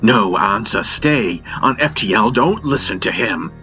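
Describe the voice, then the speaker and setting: A man's voice: on the low side, expressive, unhurried, pushed and bright, pitched above the performer's own voice. Man playing a defiant, reckless sci-fi infiltrator, urgent. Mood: defiant, argumentative. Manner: urgent, argumentative. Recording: music bed under the voice.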